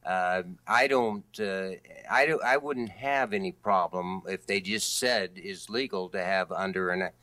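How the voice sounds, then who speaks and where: A man speaking as a candidate in a political debate, with a halting delivery full of hesitations. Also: sits low, pushed and bright. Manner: halting, hesitant delivery with many long pauses. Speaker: candidate for district attorney in a debate.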